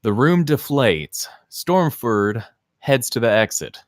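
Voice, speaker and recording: A man's voice: mid-range voice, sing-song, takes it slowly, no room sound, studio quality. A man narrating scene descriptions and stage directions at a screenplay table read.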